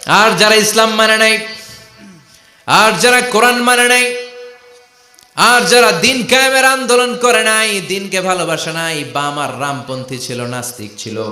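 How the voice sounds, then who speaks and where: A man's voice: fairly high pitch, a little slow. A man preaching an Islamic sermon in a declamatory, rising and falling cadence, chanting quotations and calling on listeners to respond. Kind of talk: fervent Bengali-style waz sermon with chanted Arabic quotations. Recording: echoing loudspeaker sermon sound.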